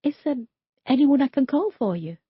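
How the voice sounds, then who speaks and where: A woman's voice: sits high, lively intonation, moderate pace, dry recording, phone-quality sound, voice pushed up. A woman voicing a polite, unsure young policewoman who talks in a placating, hesitant way. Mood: gentle.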